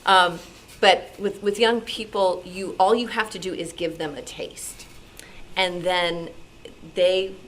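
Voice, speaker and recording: Lower-pitched woman in a meeting discussion. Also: fairly even intonation, takes it slowly. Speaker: club member, actor and former teacher. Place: indoor club meeting. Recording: noisy live meeting room.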